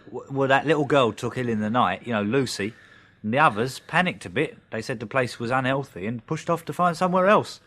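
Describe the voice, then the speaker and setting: A man's voice: mid-range voice, a little fast, fairly bright tone, lightly acted. An actor playing a cheerful, blinded working-class Englishman in a radio drama, chatty and wry, with colloquial turns and morbid jokes. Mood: matter-of-fact.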